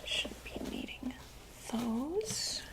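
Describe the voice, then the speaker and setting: Young woman, ASMR roleplay doctor, soft raised whisper, slow and soothing bedside manner, very close mic. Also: sits high, sing-song. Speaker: ASMR creator playing a monster doctor.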